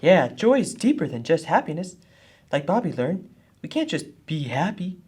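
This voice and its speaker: A woman voicing an earnest, husky boy puppet who explains things helpfully in a children's puppet show. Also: deep voice, very animated, moderate pace, dark tone, exaggerated voice. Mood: upbeat.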